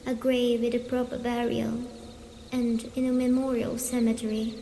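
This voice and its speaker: A woman's voice: a little high, level tone, normal speed, mellow, echoey room, long reverb tail, a little hiss or hum, pitched above the performer's own voice, close to an everyday voice. A woman voicing the menacing, commanding ghost of a grown woman in an ASMR horror story. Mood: commanding, threatening. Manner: commanding, eerie.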